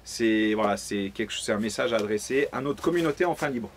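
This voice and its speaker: A man hosting a casual interview, talking in a relaxed, chatty way with loose phrasing. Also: fairly low pitch, brisk, a little hiss or hum. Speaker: interviewer and host. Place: outdoors by a pool.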